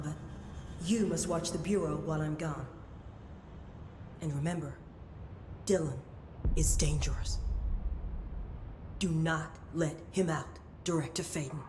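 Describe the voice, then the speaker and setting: An actress playing a stern, secretive woman official who speaks in a measured, formal, commanding way in a game cutscene. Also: deep voice, fairly even intonation, noisy background. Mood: grave. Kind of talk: video game cutscene acting.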